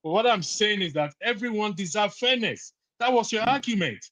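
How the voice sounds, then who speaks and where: A man's voice: high voice, expressive, normal speed, a bit bright, no echo. A man moderating a heated online debate, often cutting in to take back the floor. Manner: keeps interjecting to regain the floor. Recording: dry, dull lo-fi call audio.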